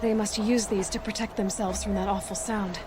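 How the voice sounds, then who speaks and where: Actress as practical huntress over noisy ambience. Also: female voice, mid-range voice, fairly even intonation, normal speed, natural voice. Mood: focused. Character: resourceful, calm young huntress, focused and practical. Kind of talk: video game dialogue. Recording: noisy outdoor game ambience behind.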